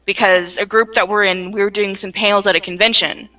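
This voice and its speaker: A woman's voice: mid-range voice, fairly even intonation, a little fast, pushed and bright, recorded from a distance, poor audio. A woman in a casual chat about her creative projects, rambling and circling back on her words as she goes. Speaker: game developer, writer and voice actor.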